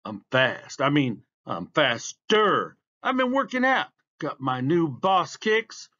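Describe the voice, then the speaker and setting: A man's voice: fairly high pitch, big pitch swings, takes it slowly, sharp tone, muffled recording, voice pushed up, heavily acted. A man voicing a cocky, boastful boy racer in a storybook, brash and emphatic. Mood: boastful, teasing.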